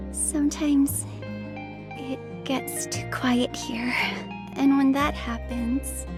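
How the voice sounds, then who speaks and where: A lonely young girl from a horror visual novel, sweet and timid. Her voice is very high and unhurried, soft and breathy with a childlike wistfulness. Music plays behind her. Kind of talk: visual novel game voice acting.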